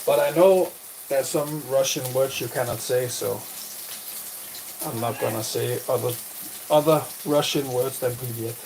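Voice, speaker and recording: A man's voice: medium pitch, measured pace, low-quality recording. A man with a Danish accent, a streamer chatting casually with viewers in a rambling way while he cooks, recorded on a phone. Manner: rambling, answers chat directly, many restarts. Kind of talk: casual live-stream chat. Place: home kitchen while cooking. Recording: loud kitchen noise from frying and tap. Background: frying, sizzling and a running tap.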